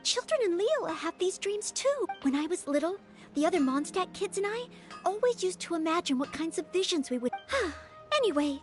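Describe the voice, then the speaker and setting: A woman's voice: extremely high pitch, sing-song, moderate pace, soft background music. A woman voicing an earnest, polite and easily flustered young maid knight in a video game. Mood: gentle, flustered.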